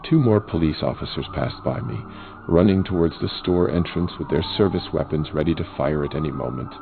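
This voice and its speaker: A man playing an eyewitness, narrating a tense first-person horror story in a gravelly voice. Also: extremely low pitch, full character voice. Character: male neighbourhood eyewitness recounting a tense night. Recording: thin phone-like sound, music, sirens. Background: music and sirens.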